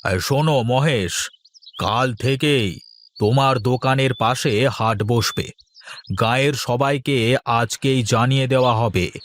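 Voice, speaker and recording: Cartoon village chief: self-important, paternal, blustering, mid-pitched and unhurried. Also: male voice, fairly bright tone, a little hiss or hum. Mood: decisive. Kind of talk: cartoon story acting.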